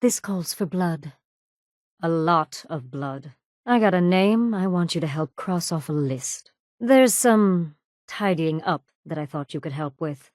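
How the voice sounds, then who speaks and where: An actress playing a cold, menacing raider gang boss in a video game, with a cool, deliberate, sardonic delivery. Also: female voice, fairly low pitch, darkish tone, dry recording, clean studio sound. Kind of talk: video game character dialogue.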